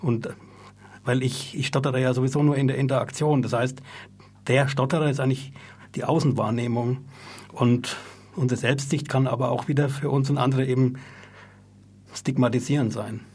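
A man with a slightly husky voice hosts a talk show from a radio studio. He speaks thoughtfully and reflectively, with the odd stuttered word. His pitch sits in the ordinary middle range for a man. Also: steady tone, normal speed, long pauses, a little mellow.

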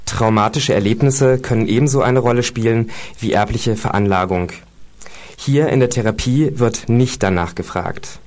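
A man's voice: medium pitch, a little mellow. Hesitant male radio co-host. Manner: hesitant, with fillers. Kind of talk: casual radio talk, reading quotes aloud.

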